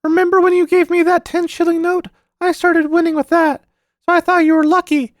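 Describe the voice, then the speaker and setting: Man reading as a small boy, fierce and secretive, pushed up high and bright, dry close mic. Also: flat delivery, brisk, no room sound. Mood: intense. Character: intense secretive young boy obsessed with luck.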